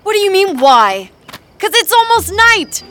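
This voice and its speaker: A woman's voice: extremely high pitch, big pitch swings, unhurried, a bit bright, slight background noise, heavily acted. A woman playing a tired, grumpy young female adventurer in a fantasy audio drama, exasperated and emphatic as she speaks. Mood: indignant.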